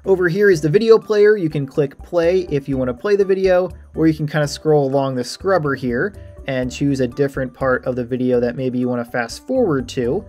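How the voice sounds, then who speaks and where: A man's voice: fairly high pitch, brisk, a little mellow, faint music behind. A man giving an informal, explanatory screen-share walkthrough as an online tutorial creator. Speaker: math tutorial creator giving a site tour. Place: voice-over for a screen recording.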